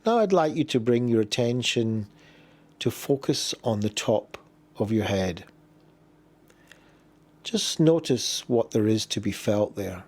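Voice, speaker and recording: Male meditation guide, medium pitch, gentle and unhurried, leaving space between short instructions. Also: expressive. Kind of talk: guided body scan meditation.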